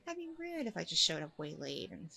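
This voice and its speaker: A woman's voice: low voice, very animated, brisk, vocal fry, pushed and bright, exaggerated voice. A woman playing a chatty, apologetic blind date in an ASMR audio roleplay, rambling along casually and a little hesitantly. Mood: friendly, chatty.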